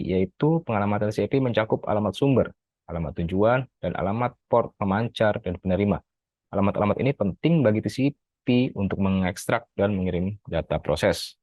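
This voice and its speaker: Deep-voiced man reading a summary. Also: dry recording, lo-fi sound. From Indonesia. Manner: steady reading with occasional self-corrections. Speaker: graduate student presenting an article review. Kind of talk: reading a prepared academic summary.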